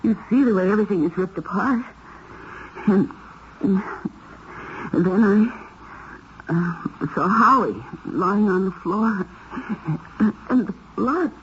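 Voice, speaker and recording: A woman's voice: mid-range voice, animated. An actress playing a shaken, hesitant married woman under suspicion in a 1970s radio mystery. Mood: frightened, halting. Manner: hesitant, halting delivery. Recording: dull, hissy 1970s radio recording.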